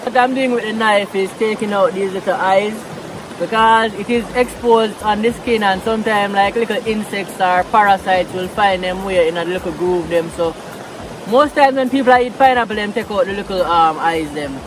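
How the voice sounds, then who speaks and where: Relaxed Jamaican vlogger, a man with a high voice and a Patois accent, filmed outdoors by a river with noisy water behind. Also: moderate pace. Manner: relaxed, chatty, mixing Patois into English. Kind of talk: casual vlog talk outdoors.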